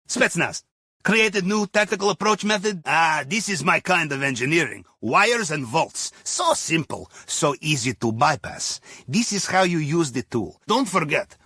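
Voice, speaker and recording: Wry russian sub captain for a game, highish bright voice, briefing the crew like a co-conspirator. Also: male voice, breathy edge, no echo, studio quality, heavily acted. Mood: wry, conspiratorial. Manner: wry, conspiratorial, tags statements with questions. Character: genial, wry Russian ex-navy submarine captain and heist handler. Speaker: mission handler. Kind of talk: video game mission dialogue over comms.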